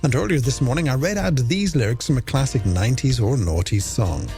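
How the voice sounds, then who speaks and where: Male late-night radio host, lively intonation. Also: mid-range voice, brisk. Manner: playful, chatty, addressing listeners directly. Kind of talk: music radio presenting, links and listener game. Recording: radio broadcast over music bed. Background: music.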